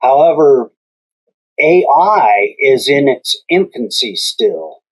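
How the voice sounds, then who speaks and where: A man hosting a podcast interview, speaking in a slow, measured way with long pauses. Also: mid-range voice, animated, poor audio. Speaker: podcast host.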